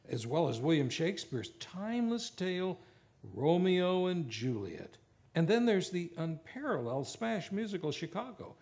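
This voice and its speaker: A man's voice: on the high side, a little mellow. A man announcing an old-time radio show in a theatrical, promotional, scripted manner.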